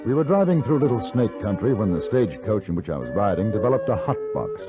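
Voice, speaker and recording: A man playing a wry, polite English reporter in the West, with a measured, understated delivery in a radio drama. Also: fairly low pitch, very animated. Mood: wry storytelling. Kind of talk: first-person radio drama narration and dialogue. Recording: muffled 1950s radio, music behind.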